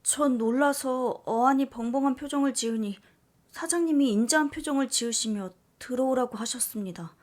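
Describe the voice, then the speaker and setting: A woman narrating a listener's story in the first person, reading aloud with expressive rises at dramatic turns. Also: high voice, normal speed.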